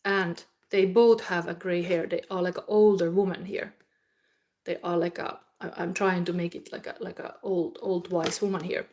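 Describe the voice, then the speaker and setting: A woman's voice: lowish voice, little pitch movement, normal speed, a little mellow. A woman from Finland with a Finnish accent, speaking non-native English in a rambling, word-searching way as she gives a casual psychic tarot reading to viewers.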